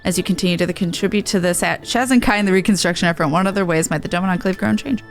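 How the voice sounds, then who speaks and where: A woman's voice: on the low side, racing, soft background music, pitched below the performer's own voice, natural voice. A woman voicing a courteous, formal quest-giver, reading video game dialogue aloud. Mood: formal, narrating. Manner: polite, formal, expository.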